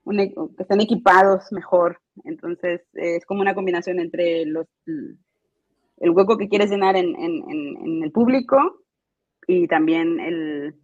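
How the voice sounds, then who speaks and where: A woman's voice: on the low side, measured pace, a little mellow, no echo. A woman guest in an interview explaining her course design work, hesitant, with many fillers and restarts. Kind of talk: casual interview answers. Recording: dull lo-fi dry sound.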